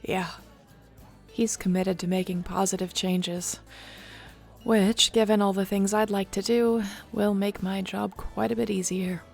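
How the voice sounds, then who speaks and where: Woman acting as young shifter clan leader, casual n sincere, bit of vocal fry, soft music behind, audio roleplay. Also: mid-range voice, fairly even intonation, unhurried. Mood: easygoing, encouraging. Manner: casual, sincere, conversational storytelling. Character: warm young female shapeshifter, newly made clan leader.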